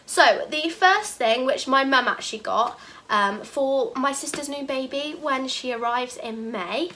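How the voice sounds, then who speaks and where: A young British woman talks straight to camera from her bedroom, gushing over each thing she shows and repeating "really, really" often. Her voice sits a little high and sounds bright and forward, though a cold leaves her a bit bunged up. A faint hiss runs underneath. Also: a little slow. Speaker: haul vlogger. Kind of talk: casual chat to camera. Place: bedroom, indoors.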